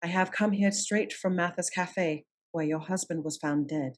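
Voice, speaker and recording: A woman's voice: fairly low pitch, slight background noise, lightly acted. Composed, shrewd lady detective played by a woman. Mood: grave, courteous. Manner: measured, polite, decisive. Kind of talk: radio play acting.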